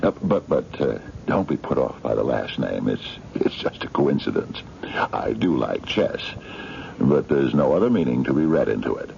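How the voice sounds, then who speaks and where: A man's voice: very deep voice, sing-song, moderate pace, creaky, darkish tone, exaggerated voice. An actor in a radio mystery drama playing an eccentric, courtly man of mystery, formal, hesitant and coaxing. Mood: courtly, sly. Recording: dull, noisy 1970s radio drama recording.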